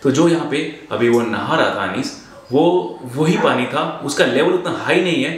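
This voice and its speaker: A man in his twenties explaining a story informally to camera, halting as he searches for words and mixes in English. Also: mid-range voice, expressive. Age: 20s. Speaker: vlogger. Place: small bare indoor room. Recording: very echoey room, faint background noise.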